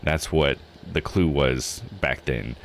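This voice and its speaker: Low-voiced man streaming a game. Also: takes it slowly, creaky, a little hiss or hum. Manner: thinks aloud, informal slang. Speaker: livestream host playing a video game. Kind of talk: casual gaming stream commentary.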